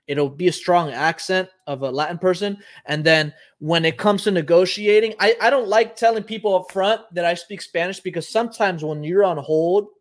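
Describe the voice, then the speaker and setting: A man's voice: high voice, normal speed, hardly any pauses. A man in his thirties from Puerto Rico, hosting a live show and explaining casually while thinking aloud with frequent ums. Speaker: host. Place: home office, indoors.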